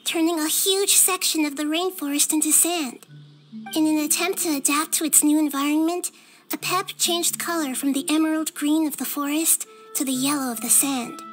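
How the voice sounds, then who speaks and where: A woman voicing a gentle, child-sized female deity in a video game, speaking in a measured, thoughtful way. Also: high-pitched, steady tone, soft background music, close to an everyday voice. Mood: solemn, explanatory. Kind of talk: video game character dialogue.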